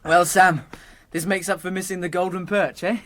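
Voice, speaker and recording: Man acting young hobbit gentleman, English accent, breezy, eager, chatty, cheerful radio drama delivery. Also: high-pitched, little pitch movement, moderate pace, background noise, close to an everyday voice. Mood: polite, chatty.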